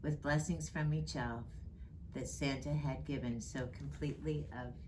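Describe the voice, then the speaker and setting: A woman reading a rhyming children's story aloud in a measured, expressive storytelling way. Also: a bass voice, background noise. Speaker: storybook reader in character as Mrs. Claus. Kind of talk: scripted children's storybook narration in rhyming verse.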